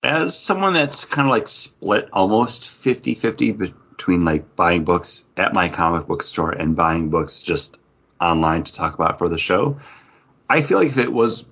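A man co-hosting a casual comics podcast, talking in an animated way full of "like" fillers. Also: deep voice, a little slow, vocal fry, lo-fi sound. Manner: animated, filler-heavy, frequent "like". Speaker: podcast co-host.

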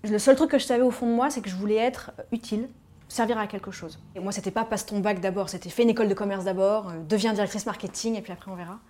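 A woman's voice: mid-range voice, fairly even intonation, fast talker, mellow. A woman, candidly telling her own life story with frequent fillers. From Paris, France. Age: 30s. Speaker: tobacco cessation specialist telling her story. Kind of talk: personal storytelling podcast.

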